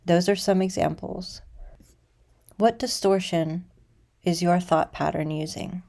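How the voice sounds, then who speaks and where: A woman teaching a guided meditation in a measured, deliberate way, leaving pauses between phrases. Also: lowish voice, steady tone, vocal fry, mellow.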